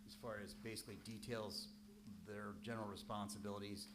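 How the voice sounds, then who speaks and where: A man with a lowish voice speaks as mayor at a council meeting. He explains things in a measured, halting way, full of ums and restarts. A loud, steady hum runs under his voice. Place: council chamber.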